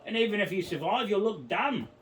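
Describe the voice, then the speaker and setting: Man playing a laddish British bloke with a put-on accent: high, bouncy, off-mic. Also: animated, a little fast, recorded from a distance, rough recording. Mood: cheeky. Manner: cheeky, mocking, laddish banter. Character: crude boastful British bloke, adult man. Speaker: podcast co-host. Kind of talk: improvised comedy bit.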